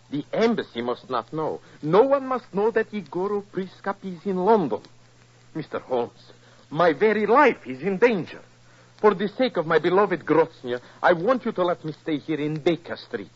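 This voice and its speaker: Political emissary, grand and insistent, high-ish animated voice, muffled old radio drama sound. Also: male voice, moderate pace. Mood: earnest, urgent. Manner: formal, grandiloquent, stilted phrasing. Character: florid, emotional, insistent male foreign political emissary. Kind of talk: 1940s radio acting. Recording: muffled, band-limited old radio recording.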